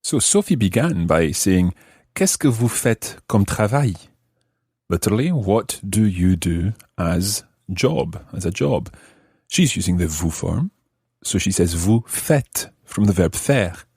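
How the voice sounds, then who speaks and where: A man presents a language lesson in a low, slightly husky voice. His intonation moves a lot as he explains things carefully, and the recording is dry and close. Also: lively intonation, takes it slowly, a little mellow, no echo. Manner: explanatory, carefully enunciated teaching. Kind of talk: scripted lesson narration.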